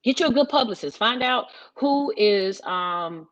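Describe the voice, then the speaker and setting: A woman's voice: on the high side, expressive, a little slow, a bit bright. A woman giving informal advice as a panelist. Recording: dull, muffled lo-fi audio.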